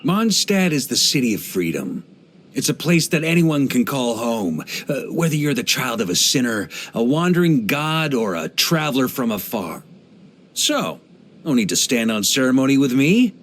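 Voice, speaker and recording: A man's voice: mid-range voice, sing-song, moderate pace, a little hiss or hum, natural voice. Guy voicing a laid-back middle-aged knight boss from a video game, jovial, kinda theatrical, voice swings around a lot. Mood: boastful, warm. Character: genial, easygoing middle-aged male knight commander. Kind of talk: English video game character voice lines.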